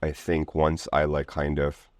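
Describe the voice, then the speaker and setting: A man from Lebanon with a very deep voice talks as a guest in a relaxed interview. He rambles informally, leaning on "like" and "you know". The sound is dry, with little room in it. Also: a little slow, no room sound. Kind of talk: casual conversational interview.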